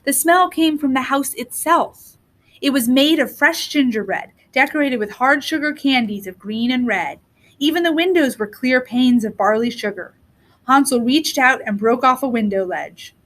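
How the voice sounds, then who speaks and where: A woman reading a children's story aloud in an expressive storytelling style. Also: sits high, normal speed. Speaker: storybook reader.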